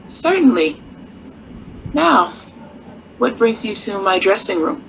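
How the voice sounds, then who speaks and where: An actress playing a poised, worldly nightclub singer in a radio drama, with theatrical, wryly warm delivery. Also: female voice, medium pitch, sing-song, creaky, a little hiss or hum, heavily acted, poor audio. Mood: playful, gracious. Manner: theatrical, unhurried, uses endearments like darling and honey.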